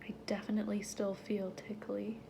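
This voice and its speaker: A woman with a stuffy nose, talking casually to her viewers, breathy and often half-whispered between sighs. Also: mid-range voice, completely flat delivery, moderate pace, noisy background. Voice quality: stuffy nasal voice. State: stuffy nose, sniffling and sneezing. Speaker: vlogger. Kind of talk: casual talk to camera.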